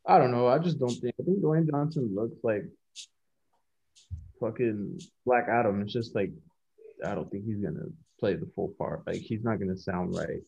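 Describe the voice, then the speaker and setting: Male, gravelly low voice, slangy, profane, relaxed chat. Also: moderate pace, muffled recording. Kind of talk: casual chat.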